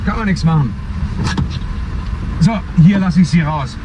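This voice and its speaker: A chatty, fatalistic cab driver played by an actor rambles on to his passenger in a radio play. His voice sits slightly high. Loud traffic noise fills the background. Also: measured pace, natural voice. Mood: rambling. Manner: rambling, fatalistic small talk. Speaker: taxi driver. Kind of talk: radio drama acting.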